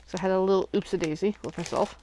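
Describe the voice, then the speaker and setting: A woman's voice: mid-range voice, fairly even intonation, very fast, warm tone, a little hiss or hum, low-quality recording. A sniffly woman hosting a casual crafting livestream, chatting and thinking aloud as she unboxes and works at home.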